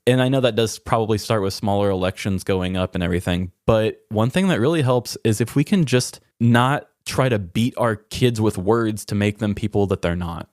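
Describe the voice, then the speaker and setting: Low-voiced man talking candidly. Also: moderate pace, few pauses, darkish tone, no room sound, studio quality. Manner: self-deprecating, reflective. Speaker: podcast host. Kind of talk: candid personal conversation.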